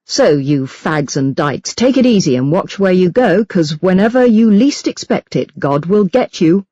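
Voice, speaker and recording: A woman's voice: fairly low pitch, expressive, a little slow, warm tone, no room sound, lo-fi sound. A woman reading as a venomous, self-righteous religious hate-mail writer in an audiobook, scornful and emphatic. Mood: scornful, threatening. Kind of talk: dramatised audiobook reading of letters.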